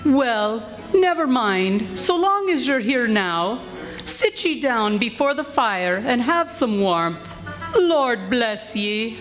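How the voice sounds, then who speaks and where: A woman playing a motherly, sharp-tongued working-class wife in a live radio play, with emphatic, drawn-out delivery. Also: fairly high pitch, lively intonation, poor audio. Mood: fond, welcoming. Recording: music behind live stage performance.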